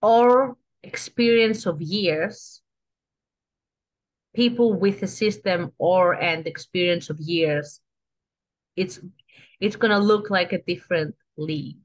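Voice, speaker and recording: A woman's voice: on the low side, slow pace, pauses often. A woman with a Greek accent, a trained actress and guest on a podcast, talking casually and often restarting her sentences. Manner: chatty, restarts sentences, asks "you know?" often.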